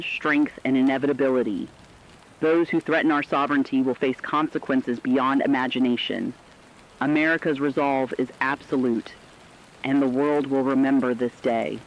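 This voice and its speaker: Male actor as solemn radio war reporter, medium pitch, emphatic scripted read, muffled lo-fi broadcast. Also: fairly even intonation, normal speed, close to an everyday voice. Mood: grave, resolute. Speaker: news correspondent. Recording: dull lo-fi radio sound.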